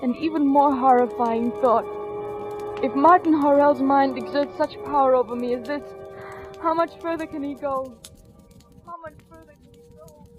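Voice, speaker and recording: Anxious, haunted wife for vintage radio suspense actress performing, highish voice, dramatic delivery, dull old recording with music behind. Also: female voice, normal speed, natural voice. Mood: haunted, dread. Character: anxious, haunted vaudeville wife longing for divorce. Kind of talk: 1940s radio suspense acting.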